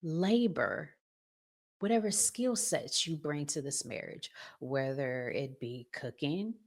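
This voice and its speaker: A middle-aged woman hosting an informal advice talk for women, speaking in an emphatic, deliberate way with preacher-like phrasing. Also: low voice, lively intonation. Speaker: podcast host giving marriage advice.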